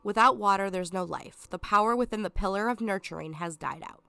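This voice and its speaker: A woman's voice: mid-range voice, a little fast, gravelly, pushed and bright, smooth, no room sound. A woman reading a scheming, condescending lion villain. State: stuffed up from a cold. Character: scheming, sarcastic male lion villain, self-proclaimed king.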